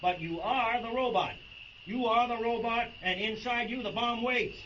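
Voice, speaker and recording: Menacing military major for sci-fi radio drama. Commands sharply, slightly off-mic, muffled. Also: male voice, extremely high pitch, normal speed. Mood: grim warning. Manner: commanding, forceful. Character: stern, menacing military major hunting a fugitive. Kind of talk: science fiction radio drama acting. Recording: distant, dull, faintly noisy radio drama.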